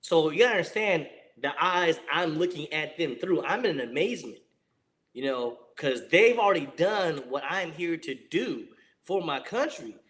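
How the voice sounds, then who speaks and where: A man in his forties, a Marine veteran from San Diego, telling stories in a casual chat on a video call. He talks to the audience in an animated way and laughs often. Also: a little high, moderate pace. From San Diego, USA.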